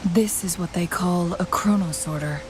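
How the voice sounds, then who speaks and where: An actress playing a composed, scholarly young woman adventurer in a video game, speaking in a measured, articulate, formal way. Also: fairly low pitch, background noise, close to an everyday voice. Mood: thoughtful. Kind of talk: video game story dialogue. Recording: game music playing behind.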